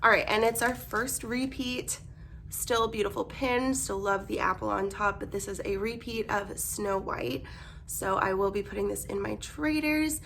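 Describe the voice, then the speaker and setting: A young woman vlogger chatting casually through an unboxing, bubbly and gushing, her voice hoarse as she loses it. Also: mid-range voice, fairly even intonation, moderate pace, fairly bright tone, slight background noise.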